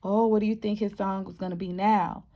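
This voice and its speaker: A woman teacher reading a picture book aloud to young children, expressive and encouraging, often asking the listeners questions. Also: mid-range voice, brisk, slight background noise. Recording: dull, muffled lo-fi sound.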